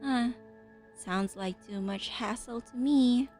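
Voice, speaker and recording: A woman's voice: a little high, slow, darkish tone. A woman streamer giving casual gameplay commentary, playfully bantering back at the characters. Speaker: gaming streamer. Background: game music.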